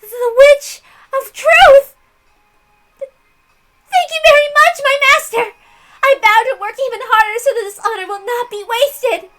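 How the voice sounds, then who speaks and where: Fanatical young servant girl, very high, loud, gushing, fawning, theatrical villain's minion. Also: a little slow, long pauses, soft background music, low-quality recording. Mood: overcome, gushing. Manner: gushing, shrill, theatrical, devoted.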